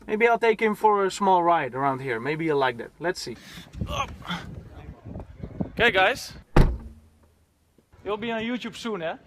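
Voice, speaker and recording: A man's voice: high voice, big pitch swings, unhurried, pauses often, noisy background. A young man from Poland chatting casually with a passenger while driving, laughing often and restarting his sentences, recorded on a dash camera inside a car. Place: inside a car in city traffic. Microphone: dash-mounted camera.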